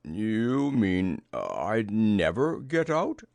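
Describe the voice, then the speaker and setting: Slow gentle bear, gloomy, dim-witted, creaky, storybook character. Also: male voice, fairly low pitch, huge pitch swings, dry recording, clean studio sound, heavily acted. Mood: anxious. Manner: slow, ponderous, drawn-out delivery.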